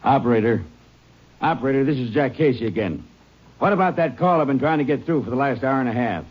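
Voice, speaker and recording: A man acting a short-tempered, heavyset rancher under suspicion in a radio western, gruff, impatient and evasive. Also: medium pitch, fast talker, natural voice. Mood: impatient, harried. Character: edgy, defiant fat male rancher, short-tempered suspect. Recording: muffled, hissy old-time radio recording.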